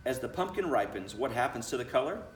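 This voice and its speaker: A friendly farm woman from a children's picture book, read aloud by a man, explains things with emphasis and lively pitch swings. The sound is echoey and a little distant from the mic, with faint noise. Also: quick pace, close to an everyday voice. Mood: cheerfully instructive. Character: Missus Bell, friendly woman pumpkin farmer explaining things.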